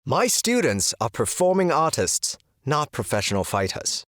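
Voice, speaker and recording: An actor playing a principled, composed dance choreographer in an audio drama, polite and measured. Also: male voice, on the high side, sing-song, fairly bright tone, no room sound, studio quality. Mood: firm, reserved.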